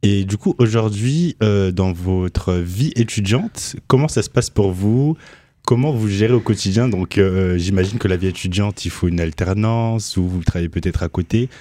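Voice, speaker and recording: A man with a low, mellow voice leads the interview in a relaxed way. He prompts with short questions and brief backchannels. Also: moderate pace, dry recording.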